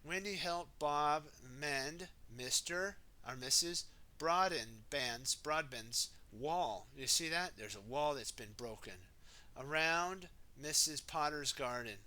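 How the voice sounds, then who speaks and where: A man reading a children's picture book aloud in an animated way, adding his own asides and exclamations for young listeners. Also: fairly high pitch, slow pace.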